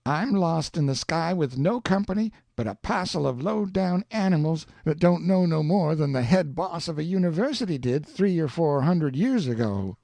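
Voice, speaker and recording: Smug lecturing country boy, man reading it, lively up and down, dry close sound. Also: a little high, moderate pace, vocal fry, hardly any pauses, dry recording, pitched above the performer's own voice. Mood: superior. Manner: lecturing, superior, rustic dialect. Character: know-it-all boy, calm and superior.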